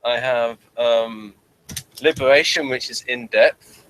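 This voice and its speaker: A man chatting casually as co-host of a review show, amused and halting in his delivery. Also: medium pitch, a bit bright, slight background noise, poor audio. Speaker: podcast co-host reviewing TV episodes. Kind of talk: casual chat.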